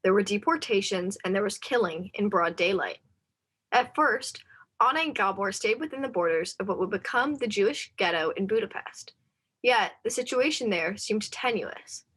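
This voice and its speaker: A woman's voice: medium pitch, animated, normal speed, a bit bright, slightly rough. A woman narrator delivering scripted dramatic storytelling. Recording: faint music underneath.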